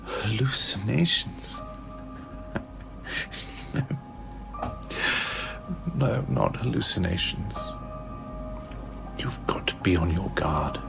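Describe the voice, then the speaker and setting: Radio drama: cagey, haunted scientist, low creaky half-whisper, halting and ominous, clear music underneath. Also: male voice, expressive, heavily acted, rough recording. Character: haunted, cagey male scientist giving cryptic warnings. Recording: music playing behind the voice.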